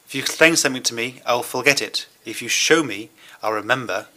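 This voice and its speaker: A man interpreting a German speech into English at a conference, with measured, hesitant phrasing and pauses. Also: mid-range voice, pushed and bright, low-quality recording.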